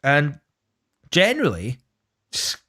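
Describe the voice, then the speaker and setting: A man's voice: on the high side, wildly swooping pitch, takes it slowly, pushed and bright. Chatty Scottish man co-hosting. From Scotland. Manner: chatty, plugs the show's channels. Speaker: podcast co-host. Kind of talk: casual podcast chat.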